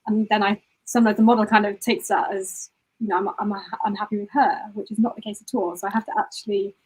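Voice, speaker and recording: Woman guest talking quick and loose, kinda husky breathy voice, lots of you knows. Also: medium pitch, steady tone, darkish tone, poor audio. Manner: chatty, frequent "you know", restarts. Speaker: guest photographer. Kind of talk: casual interview answers.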